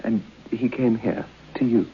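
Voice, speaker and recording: Hesitant bachelor, muffled radio. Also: male voice, mid-range voice, a little slow, gravelly, husky, full character voice. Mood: hesitant, uneasy. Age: 30s. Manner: stammering, diffident, well-mannered. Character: polite, hesitant bachelor of thirty-three, nervous guest. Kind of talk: old radio horror play acting. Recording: muffled, noisy old radio recording.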